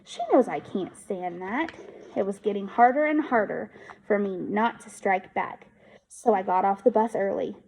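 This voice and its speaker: A woman teacher reading a children's book aloud in an expressive storytelling style. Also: mid-range voice, moderate pace, vocal fry, a little hiss or hum, rough recording.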